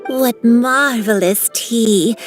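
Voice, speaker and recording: A slow, serene old lady. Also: fairly high pitch, faint music behind. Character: elderly woman, serene and appreciative. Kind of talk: video game voice acting.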